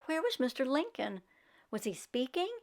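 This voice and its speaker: A woman's voice: high voice, sing-song, normal speed. A woman reading a children's book aloud expressively, in a teacherly way that invites young listeners in. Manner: teacherly, clearly paced. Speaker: children's read-aloud storyteller. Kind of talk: expressive storybook narration with questions to young listeners.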